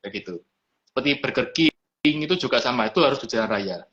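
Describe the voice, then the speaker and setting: A man's voice: mid-range voice, lively intonation, fast, a bit bright. A man, a restaurant owner explaining his business in an easygoing chat, sprinkling in English business words. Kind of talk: casual interview chat. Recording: lo-fi live stream audio.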